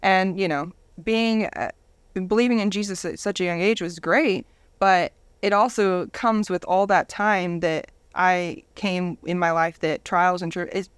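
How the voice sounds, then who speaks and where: A woman's voice: fairly low pitch, steady tone, a little slow, clear, resonant tone, dry recording. A woman in her thirties telling her personal story candidly as a guest in a casual interview. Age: 30s.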